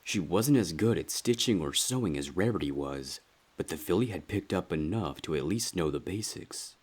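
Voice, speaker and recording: Narrator for a dark fan fiction tale: man, very low voice, husky edge, scripted dramatic reading. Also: animated, moderate pace.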